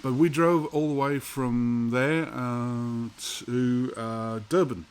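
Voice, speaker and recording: A man's voice: mid-range voice, steady tone, smooth. Rambling Norwegian man telling a story. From Norway. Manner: rambling, halting, many fillers and restarts. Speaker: vinyl collector vlogger. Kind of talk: casual storytelling monologue.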